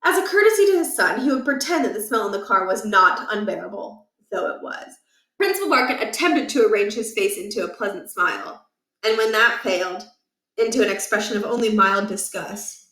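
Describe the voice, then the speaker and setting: A woman reading a children's chapter book aloud in a lively, expressive way. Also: mid-range voice, brisk, echoey room, poor audio. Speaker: storybook reader.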